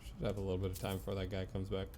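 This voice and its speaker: A man's voice: low-pitched, completely flat delivery, very fast, dark tone, a little hiss or hum. A man giving casual live commentary on a video game, muttering to himself with short exclamations.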